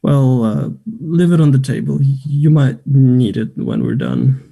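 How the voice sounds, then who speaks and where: A pushy young man from a stage play played by a man at a table read, with a husky, gravelly edge. His tone is mellow and low-key, but he presses and needles like a spurned lover. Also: unhurried. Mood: coaxing.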